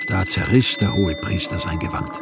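A grave male gospel narrator in a radio play. The voice is low and gravelly, measured in its storytelling, with music clearly behind. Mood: grave, narrating. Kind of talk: scripted radio drama narration.

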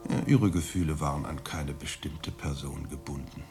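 A man playing a serene, wise monastery elder in a radio drama, speaking in a measured, courteous and discreet way. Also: rumbling low, vocal fry, a little mellow, soft background music.

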